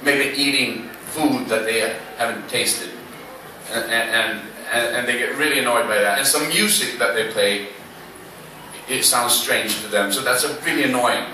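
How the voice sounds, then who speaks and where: Halting, self-mocking Swedish man on stage. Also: medium pitch, pushed and bright, a little hiss or hum. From Sweden. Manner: dry, self-mocking, halting with many fillers. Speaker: slam poet. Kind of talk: stand-up style spoken word banter. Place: small club stage. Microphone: stand mic on stage, recorded from the audience. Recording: echoey club from far off.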